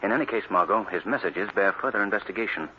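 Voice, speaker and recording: A man's voice: fairly low pitch, fast, lightly acted. An actor playing an earnest, resolute male crime fighter in a 1940s-style radio drama, with an emphatic, dramatic delivery. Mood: serious, thoughtful. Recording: noisy, dull old radio recording.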